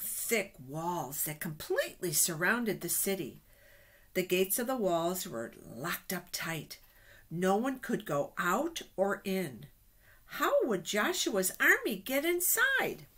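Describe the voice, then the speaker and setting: Middle-aged woman for children's Bible storytime; slow, deliberate reading with expressive emphasis. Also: medium pitch. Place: small office indoors. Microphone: webcam at desk distance.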